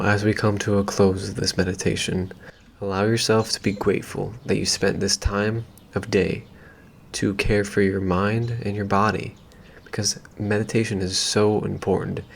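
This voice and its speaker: A man leading a guided meditation in a gentle, unhurried, soothing way, leaving long pauses between phrases. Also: lowish voice, little pitch movement, gravelly, slight background noise. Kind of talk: calm guided meditation, slow and soothing instruction.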